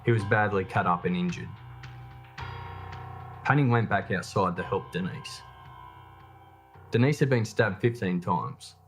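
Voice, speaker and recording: Low-pitched man narrating true crime. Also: soft background music. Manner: measured, matter-of-fact storytelling. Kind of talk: scripted documentary narration.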